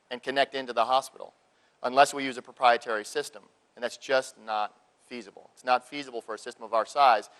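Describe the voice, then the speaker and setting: Deliberate man giving a panel presentation. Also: mid-range voice, fairly bright tone. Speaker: healthcare industry speaker.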